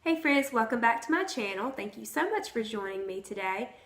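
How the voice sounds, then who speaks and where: A hoarse woman talks casually to her viewers, her voice bright and forward. She sounds a little rough from the flu. The room around her rings with a noticeable echo. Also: a little high, expressive, fairly quick. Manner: chatty, conversational. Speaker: vlogger. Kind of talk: casual chat to camera. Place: at home, indoors. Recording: roomy echo.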